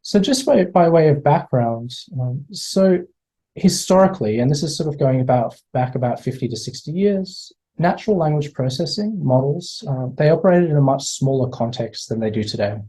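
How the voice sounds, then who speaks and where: A man's voice: fairly high pitch, animated, normal speed, dark tone, husky, low-quality recording. Hesitant Australian man lecturing. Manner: hesitant, repeats words, many fillers. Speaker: guest speaker. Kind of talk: online lecture. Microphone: video call.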